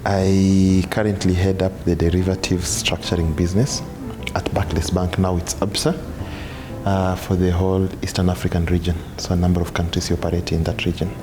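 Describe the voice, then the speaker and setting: A man's voice: sits low, level tone, normal speed, music in the background. A man, apparently in his thirties, speaking about himself in a profile interview. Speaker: interviewee, banker.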